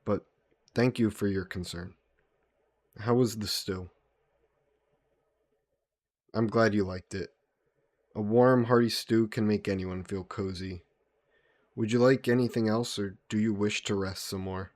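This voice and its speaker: A man playing a gentle, guilt-burdened vampire caretaker in an intimate audio roleplay, speaking politely and formally with some hesitation. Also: fairly low pitch, steady tone, measured pace, pauses often, dry recording, lightly acted. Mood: attentive, caring. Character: gentle, guilt-burdened male vampire employer caring for a guest.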